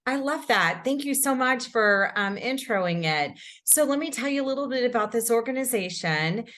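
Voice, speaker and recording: A woman's voice: on the high side, lively intonation, normal speed, a bit bright. A woman from Houston speaking as an interview guest, upbeat and conversational, often repeating her phrases. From Houston, Texas. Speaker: guest, company director.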